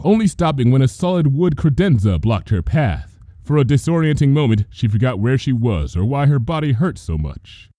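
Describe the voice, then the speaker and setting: Man narrating dramatically, very animated pitch. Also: no room sound. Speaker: fan fiction reader. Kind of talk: dramatic scripted story narration.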